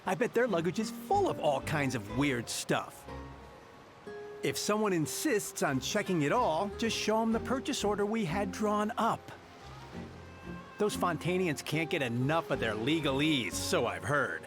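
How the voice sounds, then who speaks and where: A man's voice: fairly high pitch, sing-song, unhurried, music in the background. A man playing a bossy, brash smuggler henchman in a video game. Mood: bossy, scornful. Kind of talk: video game character acting.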